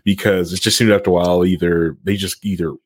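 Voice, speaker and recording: A man chatting casually and giving his opinions in an animated way. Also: low-pitched, fast, slightly rough. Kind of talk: casual chat.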